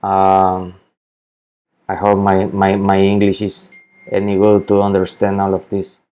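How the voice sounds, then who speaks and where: Guy slowly walking you through a spreadsheet, mellow voice, keeps restarting his words. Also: sits low, completely flat delivery, clear, resonant tone. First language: Spanish. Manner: halting, repeats words, many fillers. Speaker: spreadsheet tutorial maker. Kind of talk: screen-recorded software walkthrough.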